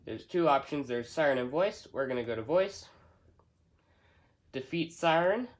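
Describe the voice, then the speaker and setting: Slow-paced man talking through a gadget setup. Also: on the high side, lively intonation. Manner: halting, thinking aloud step by step. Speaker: hobbyist product reviewer. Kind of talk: unscripted unboxing and setup walkthrough.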